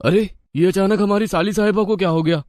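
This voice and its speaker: Man as an anxious village brother-in-law, raised pitch. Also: brisk, a little mellow, dry recording, clean studio sound, close to an everyday voice. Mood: alarmed. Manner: flustered, urgent. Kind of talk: cartoon moral story acting.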